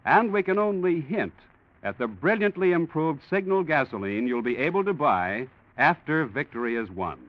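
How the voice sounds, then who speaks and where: A man announcing in polished, persuasive, emphatic 1940s radio style, pitching a sponsor. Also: sing-song, normal speed. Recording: muffled old radio broadcast.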